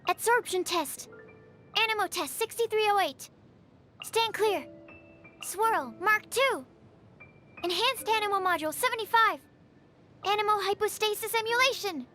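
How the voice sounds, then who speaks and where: An actress voicing a shy, bookish young female bioalchemist in a video game, soft-spoken, hesitant, polite and precise, easily flustered. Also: high-pitched, measured pace, long pauses, faint music behind. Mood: alert, focused. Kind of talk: video game character voice lines.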